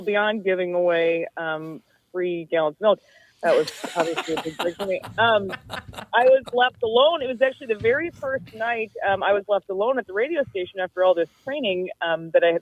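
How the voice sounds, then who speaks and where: A woman's voice: lowish voice, a little fast. A woman who works as a news reporter and grew up in Minnesota, a guest on a casual talk show. She is chatty, with lots of fillers and self-deprecating asides. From Minnesota, USA. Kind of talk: casual chat. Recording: tinny, dry phone line.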